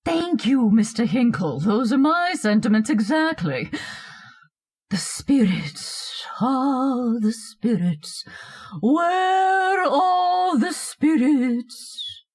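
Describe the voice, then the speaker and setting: An actress playing a pompous, bossy older society hostess in a radio play, with a theatrical, affected, self-satisfied way of talking. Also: female voice, high-pitched, big pitch swings, extremely slow, darkish tone, full character voice. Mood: grandly solemn, commanding. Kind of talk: old-time radio horror-comedy acting.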